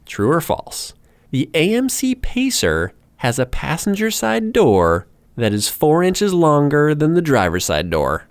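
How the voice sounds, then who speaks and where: A man's voice: a little high, a little slow, dry recording. A man co-hosting a casual podcast, playfully quizzing his co-host with trivia questions. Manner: lively, playful quizmaster.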